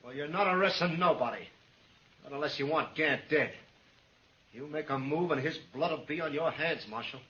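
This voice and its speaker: An actor playing a nervous, hostile young outlaw in a 1950s radio western, curt and menacing. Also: male voice, a little high, normal speed, bright, forceful tone. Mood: tense, sneering. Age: young adult. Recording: dull, faintly noisy radio recording.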